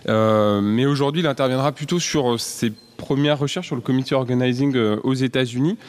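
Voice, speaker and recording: A man chairs an academic panel and introduces the speakers in a halting way, full of fillers. His voice sits at an ordinary mid pitch. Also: smooth. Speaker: conference moderator.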